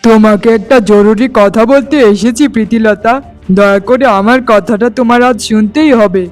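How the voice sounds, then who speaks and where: Man acting a high-voiced defiant young lover. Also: normal speed, faint music behind, natural voice. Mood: earnest, determined. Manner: earnest, declamatory. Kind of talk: cartoon melodrama acting.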